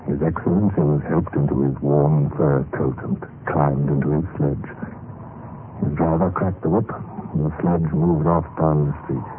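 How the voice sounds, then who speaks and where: An actor playing a dead Russian clerk who gravely narrates his own story in an old radio drama, measured and theatrical. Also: extremely low pitch, fairly even intonation. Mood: mournful, grave. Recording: muffled old radio recording with music. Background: music.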